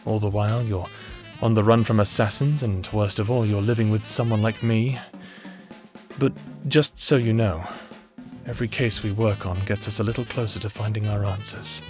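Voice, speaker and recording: A man playing an earnest, reserved private investigator in an anime roleplay audio drama, speaking in measured, formal phrases. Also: lowish voice, steady tone, over music, natural voice. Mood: serious, caring. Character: earnest male private investigator, reserved, secretly fond of client.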